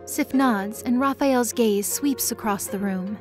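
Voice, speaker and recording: A woman's voice: a little high, unhurried, music in the background. A woman narrator reading scripted present-tense story narration for a fantasy audio drama.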